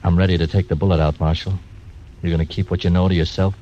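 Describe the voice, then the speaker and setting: An actor playing a blunt, fearless gambler, in 1940s radio acting. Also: male voice, low-pitched, flat delivery, quick pace, mellow, close to an everyday voice. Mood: menacing. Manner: menacing, blunt. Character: hard, fearless gambler and croupier. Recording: dull old radio recording, faint hiss.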